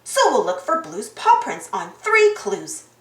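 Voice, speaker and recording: A woman reading a children's storybook aloud in an animated, exaggerated, sing-song way for young children. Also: fairly high pitch, measured pace. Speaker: storybook reader. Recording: roomy echo.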